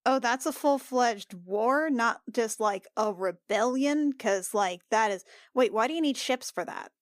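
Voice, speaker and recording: A woman's voice: a little high, lively intonation, measured pace, no echo, clean studio sound. A woman playing in a tabletop role-playing game, improvising in casual conversation. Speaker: player in a tabletop game podcast. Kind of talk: casual improvised in-character chat.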